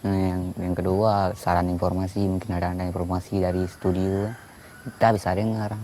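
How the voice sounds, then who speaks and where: A man giving casual, halting interview answers as a radio listener. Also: low voice, flat delivery, warm tone. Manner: halting, informal. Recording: faint background noise.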